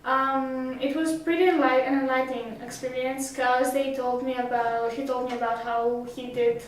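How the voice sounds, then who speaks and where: High-voiced teenage girl, echoey room. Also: flat delivery, moderate pace, a little hiss or hum. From Greece. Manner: hesitant, many fillers and restarts. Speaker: summer school student. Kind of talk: casual interview answers. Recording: roomy echo, mic at a distance.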